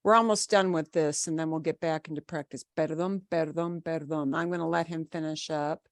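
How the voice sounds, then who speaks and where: A woman's voice: low-pitched, fairly even intonation, moderate pace, no room sound, studio quality. A woman teaching a live online language class over a video call, explaining casually with fillers.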